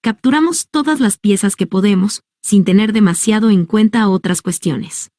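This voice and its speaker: A woman reads a nonfiction book aloud in a calm, measured voice. Her tone is mellow and dark, and the recording is dry and close, with no room sound. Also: medium pitch, no echo. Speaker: audiobook reader. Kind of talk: scripted narration of a nonfiction book.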